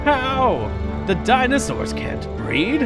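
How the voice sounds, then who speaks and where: A man's voice: extremely high pitch, wildly swooping pitch, slow, over loud music, full character voice. A man playing a commanding but anxious older park owner in an audio drama. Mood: alarmed disbelief.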